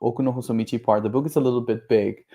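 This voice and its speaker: A man's voice: mid-range voice, steady tone, very fast, mellow. A man, a guest author and translator, speaking casually and hesitantly, often searching for words. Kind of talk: casual conversation.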